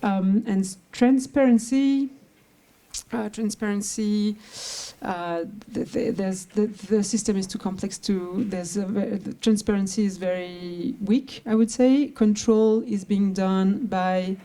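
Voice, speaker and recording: A woman researcher answering audience questions, thinking aloud with hesitant, halting phrasing and many restarts. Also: mid-range voice, dark tone. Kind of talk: academic Q&A answer.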